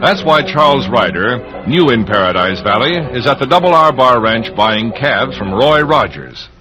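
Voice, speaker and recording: Male American announcer, vintage radio western narration: mid-pitched, lively inflection, music behind. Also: moderate pace, rough recording. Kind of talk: old-time radio scripted narration.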